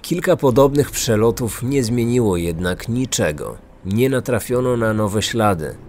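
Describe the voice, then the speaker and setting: A man's voice: mid-range voice, expressive, unhurried, a little mellow, a little hiss or hum. A man narrating a scripted true-crime podcast as its host. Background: faint music.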